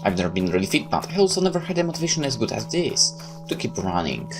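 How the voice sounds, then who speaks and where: A man's voice: mid-range voice, big pitch swings, fast, over music. A man reading a visual novel aloud like a dramatic storyteller, tossing in his own asides. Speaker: let's player. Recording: clear background noise.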